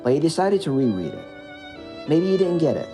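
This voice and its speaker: A man narrating a scripted character backstory as an audio drama, in an expressive, dramatic storytelling manner. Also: on the high side, moderate pace, over music.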